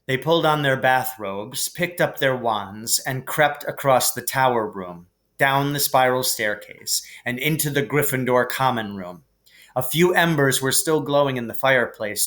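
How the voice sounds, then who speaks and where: A man narrating a children's fantasy novel aloud in an expressive storytelling manner. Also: mid-range voice. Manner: expressive, character voices for dialogue. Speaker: reader, teacher reading aloud.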